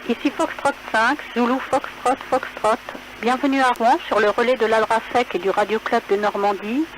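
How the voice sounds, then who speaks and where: A woman with a fairly high voice reads a scripted repeater announcement. It comes over a noisy radio transmission. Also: fairly even intonation, normal speed. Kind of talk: scripted station identification announcement.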